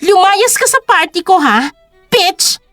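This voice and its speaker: Woman acting a shrill, haughty young female bully. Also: big pitch swings, a little slow, a bit bright, no room sound, faint music behind, exaggerated voice. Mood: scornful. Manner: mocking, contemptuous. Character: spiteful, haughty young sister-in-law bully.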